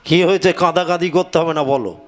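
A man's voice: on the high side, a little fast, lightly acted. A man voicing an exasperated quack dentist in a comic puppet show, exclaiming incredulously. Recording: loud music behind the voice.

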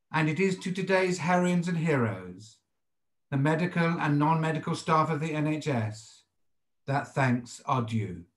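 A British man in his sixties, a rabbi giving a sermon in a measured, formal and deliberate way over a video call from a home study. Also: a little high, echoey room. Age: 60s. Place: indoors, home study with bookshelves. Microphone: computer microphone on a video call.

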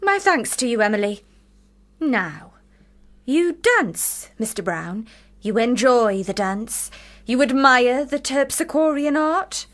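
Exacting stern Victorian woman, sardonic, somewhat high voice, rhetorical, period radio drama. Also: very animated, takes it slowly, a bit bright, exaggerated voice. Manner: preachy, exacting, rhetorical questions. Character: stern, commanding, sardonic Victorian elder sister.

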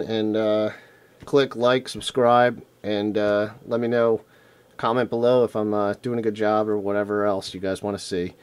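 A man with a lowish voice that is going hoarse, clearing his throat now and then. He rambles in an easygoing, enthusiastic way, full of ums and uhs, like someone showing off his latest finds on camera. He is recorded indoors by a camera mic while his hands work on a table. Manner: rambling, filler-heavy, enthusiastic. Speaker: retro game collector vlogger. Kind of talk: casual unboxing vlog. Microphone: camera mic off-screen, hands on table.

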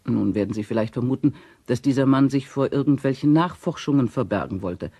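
A man's voice: medium pitch, fairly quick, mellow, husky, no room sound. A man voicing a hotel owner's testimony in a radio play, in a formal, matter-of-fact monologue. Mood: factual, concerned. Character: resolute middle-aged female hotel owner's quoted testimony.

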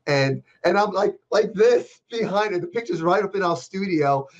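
An older man hosting a casual interview over a video call from a dark room, rambling with frequent restarts. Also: high voice, expressive, moderate pace. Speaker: podcast host. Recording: dull lo-fi video call audio.

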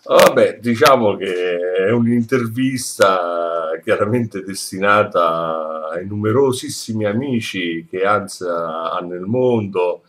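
A man's voice: low voice, unhurried, few pauses, a bit bright. A middle-aged man with an Italian accent interviewing a friend at his home, searching for words in English, recorded on a webcam. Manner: hesitant in English, many fillers and restarts. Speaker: interviewer, host. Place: his home living room. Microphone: webcam at desk.

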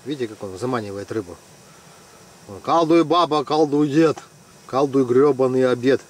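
A man's voice: mid-range voice, moderate pace. A middle-aged man chatting casually and teasing his friends while ice fishing in a tent. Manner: joking, teasing friends, lively. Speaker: fishing vlogger. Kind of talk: casual commentary.

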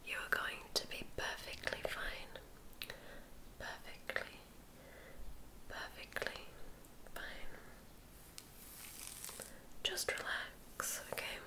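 A woman guiding ASMR relaxation in a breathy whisper, soothing and often repeating her phrases. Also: rough recording. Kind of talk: ASMR sleep hypnosis.

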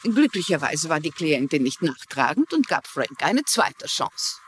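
A woman's voice: sits low, sing-song, measured pace, background noise. An actress playing a wry woman storyteller, narrating a dubbed crime series.

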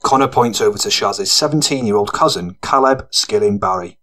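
A man's voice: lowish voice, normal speed, a bit bright. A man reading scripted narration for a gritty fiction audiobook.

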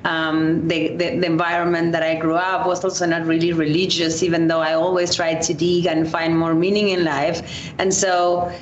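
A woman's voice: level tone, normal speed. A woman in her thirties from Argentina, a guest in an interview, speaking thoughtfully with fillers and restarts. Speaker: guest entrepreneur. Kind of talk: conversational interview. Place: studio table in a coworking space. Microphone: boom mic on the table. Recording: echoey, dull, faint rumble.

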